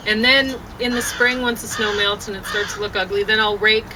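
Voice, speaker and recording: A woman's voice: medium pitch, steady tone, fairly quick, background noise. A tired woman chatting casually in a rambling way, then reading her own poem aloud outdoors. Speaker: poet and blogger. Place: outdoor deck.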